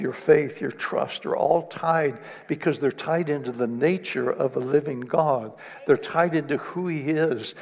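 A man's voice: mid-range voice, gravelly. A man preaching a sermon in an earnest way, building to emphatic repetition. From East Africa. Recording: long reverb of a hall.

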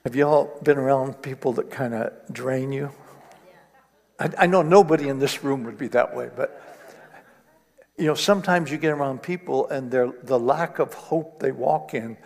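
Gravelly man preaching in a hall. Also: mid-range voice, normal speed, darkish tone. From East Africa. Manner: earnest, builds to emphatic repetition. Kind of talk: sermon. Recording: long reverb of a hall.

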